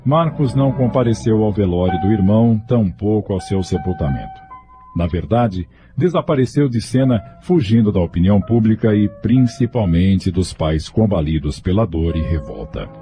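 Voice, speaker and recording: A man with a fairly low voice delivers scripted dramatic narration. It is radio drama storytelling, with music clearly playing behind him. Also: expressive, normal speed.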